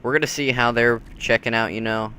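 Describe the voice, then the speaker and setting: A man's voice: medium pitch, steady tone, a little fast, bright, forceful tone, a little hiss or hum. A man giving casual commentary while playing through a video game.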